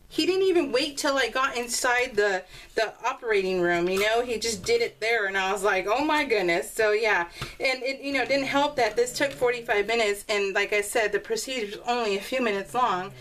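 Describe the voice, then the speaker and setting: A woman with a fairly high voice rambles through casual personal stories as a craft video host. She is recorded a little way from the microphone and often slips into quoting conversations as she talks. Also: normal speed. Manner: rambling, animated retelling with quoted dialogue; laughs often while talking. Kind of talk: casual chatty storytelling over a scrapbooking process video. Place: indoors at a craft table. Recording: voice distant from the mic.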